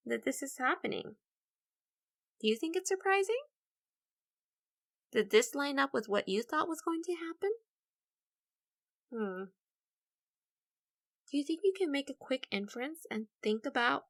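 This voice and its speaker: A woman's voice: mid-range voice, vocal fry, pushed and bright. A woman teacher leading an interactive read-aloud for children, explaining in an encouraging way and addressing listeners directly.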